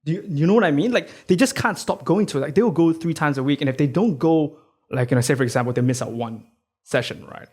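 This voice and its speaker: A man's voice: fairly high pitch, fast talker, husky. A man hosting a podcast who reads a scripted introduction and then chats with his guest in a friendly way, using many fillers like "uh," "right?" and "like.". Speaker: podcast host.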